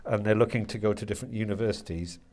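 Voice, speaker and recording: A man giving reflective interview answers as a university lecturer, halting and repeating words as he thoughtfully works toward his point. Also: fairly low pitch, a little mellow. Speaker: university creative writing lecturer being interviewed.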